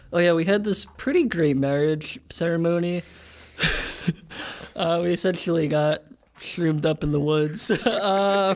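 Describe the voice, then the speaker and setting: A man casually recapping a tabletop game as a player, speaking haltingly and jokily, often chuckling as he talks. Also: a little high, creaky, darkish tone. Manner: halting, jokey, chuckles while talking. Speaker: player in a tabletop role-playing podcast.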